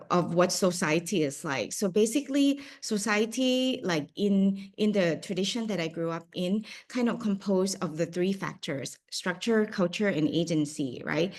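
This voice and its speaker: A woman with a Thai accent guides an online workshop in a slightly low voice. She speaks haltingly and thoughtfully, filling pauses with "um" and "uh" and starting her sentences over. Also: darkish tone. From Thailand. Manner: hesitant, many fillers and restarts. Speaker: workshop facilitator.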